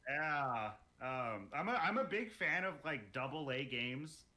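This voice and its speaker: A man's voice: medium pitch, lively intonation, measured pace, sharp tone. A man talking casually as a podcast guest, telling informal anecdotes with some swearing. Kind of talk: casual chat about video games.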